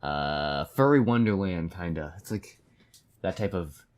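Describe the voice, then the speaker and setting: A man with a low-pitched voice hosts a reaction video. He talks in a loose, casual, rambling way, as if chatting to his viewers. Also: lively intonation, measured pace, darkish tone.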